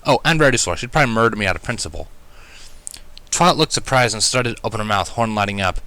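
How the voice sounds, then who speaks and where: A man reading a story aloud as narrator, with expressive delivery. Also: lowish voice, fast, dry recording. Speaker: fan fiction reader.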